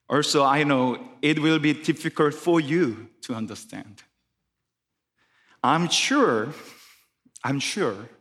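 Korean-accented man preaching slowly in church. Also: medium pitch, fairly bright tone, in a large hall. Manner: careful, halting English with restarts. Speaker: guest preacher. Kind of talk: sermon. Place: church sanctuary.

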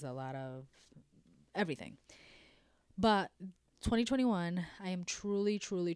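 Low-voiced woman podcast host with a cold. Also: smooth, no echo. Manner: animated, laughs often, repeats words for emphasis. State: congested with a cold. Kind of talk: casual chat.

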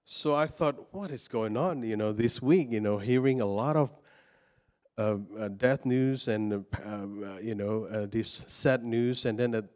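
A man's voice: medium pitch, a little mellow. A man from Indonesia giving a sermon, speaking hesitantly with many fillers and restarts, personal and earnest. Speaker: preacher.

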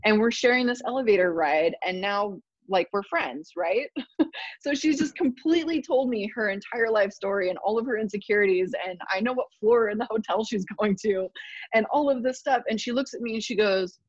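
A woman telling stories in a casual interview, animated, laughing often and filling with "like". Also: a little high, a little fast, no room sound, highs cut off. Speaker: guest.

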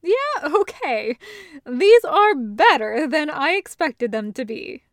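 A woman plays a playful, skeptical woman who teases in casual exclamations. Her voice sits fairly high and the sound is close and dry. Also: big pitch swings, slow pace, no echo, heavily acted. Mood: amused. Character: teasing, playful, slangy woman, affectionately skeptical.

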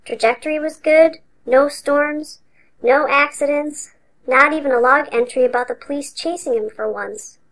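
A woman voicing an ancient, devoted male elf with a tiny, high voice. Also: moderate pace, poor audio. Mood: matter-of-fact. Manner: high and reedy, bright, eager.

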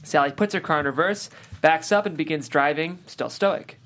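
A woman's voice: low-pitched, moderate pace, fairly bright tone. A woman narrating the stage directions of a script at a table read.

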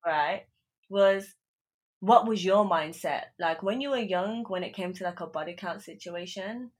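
A woman's voice: on the low side, measured pace. A young woman in casual chat, frank and teasing, with a lot of "like".

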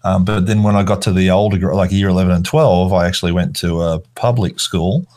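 A middle-aged man from Australia, an art teacher, explaining school systems in a relaxed, casual discussion. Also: low voice, a little fast.

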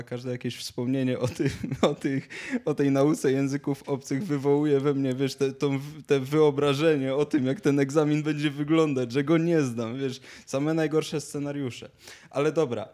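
A man's voice: medium pitch, flat delivery, a little slow, dark tone. A man, chatting casually as a podcast co-host, often repeating words and restarting. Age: 20s. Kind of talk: casual chat, anecdotes.

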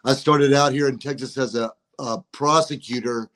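A man of around sixty, a guest on a podcast, talking in a courteous, measured, slightly formal way. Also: mid-range voice, steady tone, a bit bright. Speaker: guest, attorney and rapper. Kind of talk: casual interview talk.

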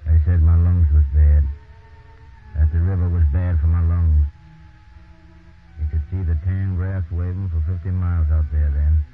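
A man's voice: deep voice, little pitch movement, normal speed, long pauses, soft background music, natural voice. An actor playing a weary, bitter displaced farmer, telling his tale in plain folksy phrases in a 1930s radio drama. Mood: wistful. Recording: muffled old 1930s radio recording.

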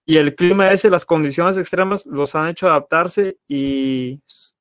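A man's voice: a little high, a little slow, no echo, clean studio sound. A man with a Mexican Spanish accent, chatting casually as a podcast co-host, rambling and checking in with tag questions. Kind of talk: casual chat.

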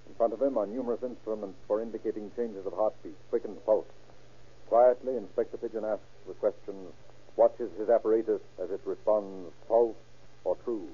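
A man's voice: fairly low pitch, steady tone, normal speed, slightly rough. A man giving formal, solemn, dramatic scripted narration in the style of an old radio crime drama. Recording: tinny old radio recording.

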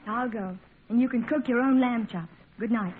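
An actress playing a scatterbrained, innocent wife with a meandering, literal-minded manner and a tart streak, in a 1950s radio comedy. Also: female voice, a little high, moderate pace, lightly acted. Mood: huffy. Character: scatterbrained, placid wife who turns tartly huffy. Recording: tinny, faintly noisy old radio recording.

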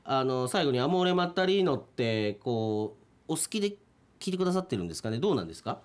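Lively, teasing male radio host. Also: mid-range voice, quick pace. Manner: teasing, breaks into chuckles. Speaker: radio show host interviewing guests. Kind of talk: casual post-recording chat.

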